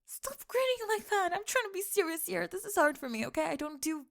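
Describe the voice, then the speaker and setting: A woman playing a young tomboy friend in an intimate ASMR roleplay, halting and flustered, teasing gruffly and often slipping into whispers. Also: very high-pitched, expressive, pitched above the performer's own voice, full character voice. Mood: flustered, defensive.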